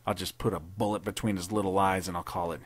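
Gruff vengeful army captain , man reading him, low voice, savage threats. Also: steady tone, fast talker, no room sound. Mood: menacing.

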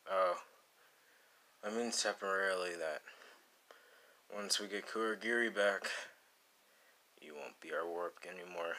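A man playing a cool, menacing young anime villain in an ASMR roleplay, drawling and teasing in a deadpan, gravelly way. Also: deep voice, measured pace, long pauses. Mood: smug, casual. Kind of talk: ASMR roleplay addressed to the listener.

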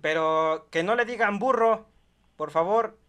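A high-voiced old rancher , acted out by a young man, stubborn and folksy. He pleads and grumbles haltingly, circling back to the same request, in an improvised prank call. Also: a bit bright, clean tone. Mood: pleading. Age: young adult. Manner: pleading, hesitant, folksy, repetitive. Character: insistent rural rancher, older man, stubborn, grumbling, attached to his donkey. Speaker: prank caller. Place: small bedroom studio, indoors.

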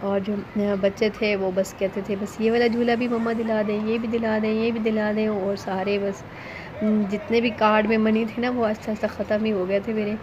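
Casting: female family vlogger, mid-pitched voice, conversational and hesitant, recorded with clear ambient noise behind. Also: little pitch movement, normal speed, muffled recording. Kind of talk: casual vlog narration. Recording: noisy ambient background behind voice.

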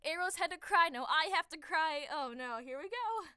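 Voice actor lady just chatting in bloopers, high voice, really animated. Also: normal speed, heavy background noise. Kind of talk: casual blooper chat.

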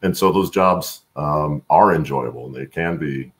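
A middle-aged American man with a gravelly voice, chatting as an interview guest and often checking in with "right?". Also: very deep voice, a little slow. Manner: tags phrases with "right?". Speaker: guest, supply chain professional. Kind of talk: casual conversational interview. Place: home room, on video call. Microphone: video call.

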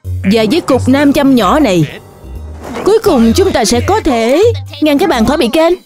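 Woman playing a high-voiced, scheming cartoon villain. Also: expressive, moderate pace, darkish tone, faint music behind. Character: scheming, silly male cartoon villain boss. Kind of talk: dubbed cartoon acting.

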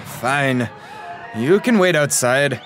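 An actor playing a brisk, authoritative and patronising army doctor with a pompous, commanding manner. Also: male voice, mid-range voice, lively intonation, music in the background, a crowd in the background. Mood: impatient. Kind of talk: audio drama acting.

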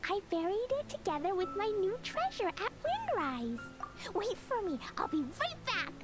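A woman's voice: extremely high pitch, big pitch swings, moderate pace. A woman playing an innocent, eager little girl adventurer with simple childlike speech in a video game. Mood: puzzled, eager. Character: excitable, innocent little girl adventurer who loves explosives. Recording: loud background game music.

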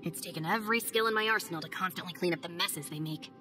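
A young ninja confides in a wry, candid way, her voice fairly low and even. Game music plays clearly beneath her. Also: fast. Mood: confiding. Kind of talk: game cutscene voice acting.

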